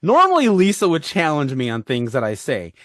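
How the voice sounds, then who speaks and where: A man's voice: a little high, wildly swooping pitch, fairly quick, a bit bright, no echo. Rambling Midwestern man with a dry close sound. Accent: Midwestern American. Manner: rambling, repeats himself, laughs often. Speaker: podcast host. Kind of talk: casual chat.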